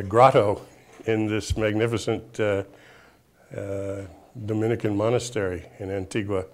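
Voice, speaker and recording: A man interviewing a senior colleague in a formal, measured way, pausing often on "uh". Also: on the low side. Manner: measured, many "uh" pauses. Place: monastery grotto.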